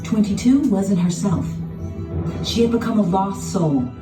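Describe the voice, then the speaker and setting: A woman narrating a children's storybook in an expressive, dramatic scripted style. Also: mid-range voice, unhurried. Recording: roomy, distant sound with music behind.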